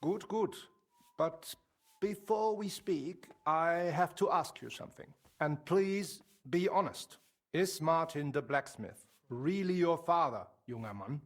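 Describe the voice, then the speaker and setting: An actor playing a courteous, probing guild elder in a video game, with a German accent and a formal, measured manner. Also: male voice, a little high, lively intonation, exaggerated voice. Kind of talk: video game dialogue acting.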